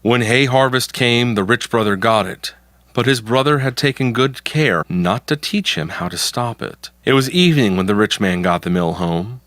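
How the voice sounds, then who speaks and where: A man's voice: mid-range voice, expressive, moderate pace, fairly bright tone, dry recording. A man narrating a folktale from a script as the storyteller.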